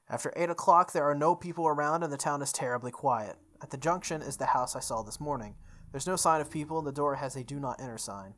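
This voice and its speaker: A young man reading a visual novel aloud as a let's play host, breaking into casual animated commentary. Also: mid-range voice.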